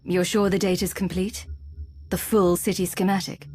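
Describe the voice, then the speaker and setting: An actress playing a composed, cool female secret agent in a video game cutscene, with a measured, probing manner and slightly husky voice. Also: lowish voice, level tone, darkish tone, a little hiss or hum, lightly acted.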